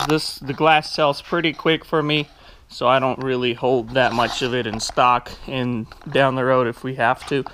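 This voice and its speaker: A man's voice: mid-range voice, noisy background. A young man giving an informal walk-through, thinking aloud into a handheld action camera in a large warehouse. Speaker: vlogger, small business owner. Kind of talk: casual walk-through vlog narration. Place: large metal warehouse, indoors. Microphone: handheld action camera at arm's length.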